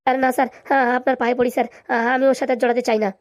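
A woman's voice: high voice, level tone, very fast, no room sound, studio quality, natural voice. A woman voicing a timid, gullible grown son who stammers and pleads in a comic cartoon. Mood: frightened, pleading.

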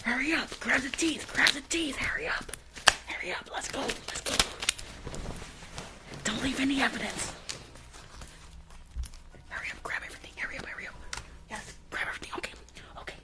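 A young woman playing a rushed, shady dentist in an ASMR roleplay, hurried and bossy, repeating herself in a breathy whisper. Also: high voice, voice pushed up. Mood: urgent, bossy. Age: young adult. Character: rushed, shady female dentist stealing patients' teeth. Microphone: close microphone with earphones.

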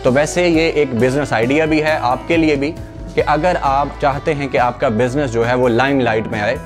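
A man's voice: medium pitch, steady tone, normal speed. A young man from Pakistan with a Pakistani Urdu accent, presenting current-affairs commentary in a conversational way with fillers, talking straight to viewers. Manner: conversational, many fillers, addresses viewers directly. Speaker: news channel presenter. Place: studio in front of a green screen. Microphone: clip-on lapel mic. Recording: faint background music.

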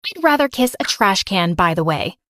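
A woman reading a first-person story in an expressive, melodramatic way. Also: mid-range voice, moderate pace, dry recording. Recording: music behind the voice.

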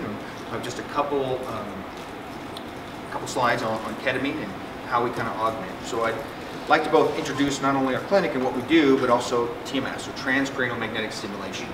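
A man's voice: medium pitch, quick pace. A middle-aged man, a psychiatrist, giving a conversational conference talk with jokes and many fillers. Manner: conversational, joking, frequent fillers and restarts. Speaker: psychiatrist presenting at a symposium. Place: conference room with projector screen. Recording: echoey room, distant mic, air conditioning hum.

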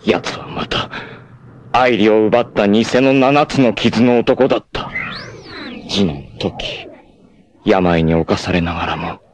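An actor playing a proud, doomed martial-arts warrior in an anime, tender and self-sacrificing, with solemn, theatrical delivery. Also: male voice, fairly low pitch, slow, pauses often, no room sound. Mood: grave, intense. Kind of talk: dramatic anime acting, monologue and narration.

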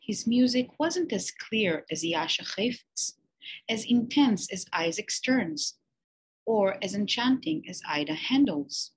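A teacher reading a children's picture book aloud, slow and expressive with emphatic pauses. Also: female voice, mid-range voice, slightly rough, rough recording.

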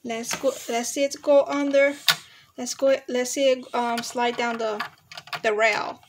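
Young woman, high sing-song voice, excited home toy demo recorded on a phone with audible background noise. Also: a little slow. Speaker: parent filming a toy demo. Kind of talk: playful commentary with a child. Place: living room at home. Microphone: handheld phone camera. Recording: noisy home phone video.

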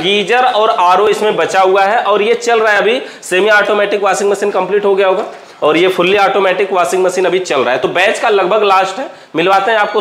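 A man's voice: high voice, a bit bright. A man hosting and interviewing students for a technical institute's channel, wearing a lapel mic in a workshop. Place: bright indoor appliance workshop. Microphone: clip-on lapel mic. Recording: echoey room sound.

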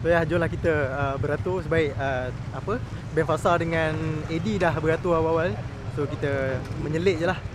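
A man's voice: on the high side, steady tone, moderate pace. A young man with a Malaysian accent chatting casually as a motorcycle vlogger. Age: 20s. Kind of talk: casual vlog chat. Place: outdoors, car parks and on a motorcycle. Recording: noisy outdoor vlog with traffic and wind.

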